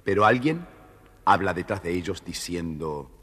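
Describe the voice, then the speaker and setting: A man narrates a children's tale with measured expression. His low, very husky voice carries long reverb, with light music behind. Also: lively intonation, a bit bright. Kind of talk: scripted children's story narration. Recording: reverberant studio story recording.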